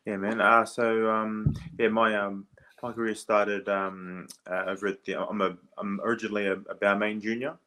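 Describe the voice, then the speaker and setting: Australian man in his thirties, very deep voice with a bright edge, hesitant casual interview talk. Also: level tone, moderate pace, poor audio. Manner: hesitant, many fillers and restarts. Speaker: podcast guest, former rugby league player.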